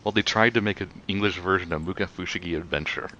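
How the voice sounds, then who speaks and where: A man's voice: fast, pushed and bright, muffled recording. A man co-hosting a casual group chat, often interjecting and repeating his phrases. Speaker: co-host of a fan commentary. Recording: clear background noise with low rumble.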